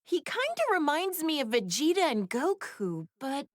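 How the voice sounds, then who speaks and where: A woman's voice: high voice, sing-song, normal speed, no room sound, studio quality. An actress voicing a clever, sassy young woman scientist in an anime game, with a wry, chatty, slightly mischievous manner. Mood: wry, curious. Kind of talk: scripted game voice lines.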